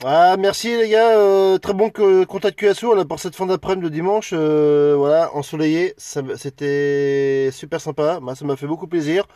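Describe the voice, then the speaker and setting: A man's voice: on the high side, steady tone, moderate pace, few pauses, mellow, dry recording, studio quality. A man chatting casually and in a friendly way as an amateur CB radio operator. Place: outdoors on high ground at the radio operator's own end.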